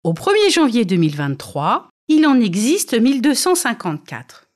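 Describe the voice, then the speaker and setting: Female podcast presenter, accent from France, animated intonation, scripted educational read, didactic and orderly. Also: on the high side, normal speed. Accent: French from France. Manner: didactic, structured, poses questions then answers them.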